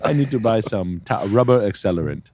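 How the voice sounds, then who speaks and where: A man's voice: medium pitch, wildly swooping pitch, very slow, vocal fry, a bit bright, dry recording, exaggerated voice. Comedy bit: a man as a coaxing foreign scammer, pleading in broken English, dry close sound. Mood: wheedling. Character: wheedling male foreign scammer pleading over money.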